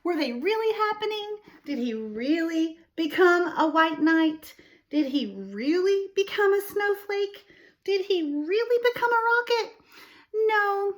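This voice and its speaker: Female storytime reader for young kids: unhurried pace, slightly high voice, expressive, explains and asks questions. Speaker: storytime host reading to children. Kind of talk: read-aloud picture-book storytelling with explanations for young children.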